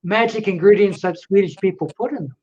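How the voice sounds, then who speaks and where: A woman's voice: mid-range voice, brisk, darkish tone. Jokey woman host, slightly muffled. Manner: jokey, upbeat. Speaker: chat host. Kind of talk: casual video chat.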